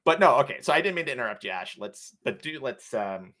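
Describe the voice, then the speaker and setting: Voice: mid-range voice, lively intonation, fast talker, a bit bright, slight background noise. A co-host of a live stream tutorial, talking casually and thinking aloud with many fillers while explaining steps. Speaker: co-host, platform guide.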